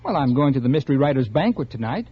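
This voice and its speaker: An actor playing a vain, cynical mystery novelist in 1950s radio drama, narrating and talking curtly and condescendingly. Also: male voice, mid-range voice, very animated, fast talker, slightly rough. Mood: evasive, impatient. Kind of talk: 1950s American radio drama acting, first-person narration. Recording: muffled vintage radio recording, music behind.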